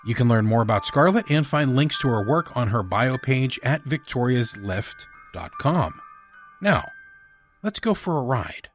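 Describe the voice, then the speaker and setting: A man's voice: medium pitch, sing-song, measured pace. A man hosting a podcast, reading a scripted introduction with announcements over music. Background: soft music.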